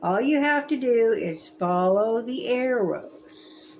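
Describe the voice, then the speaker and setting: A woman's voice: on the high side, expressive, slow, darkish tone, slight background noise, rough recording. A woman in her seventies voicing a cheerful young man who is an encouraging older brother, in a friendly, upbeat way. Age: 70s.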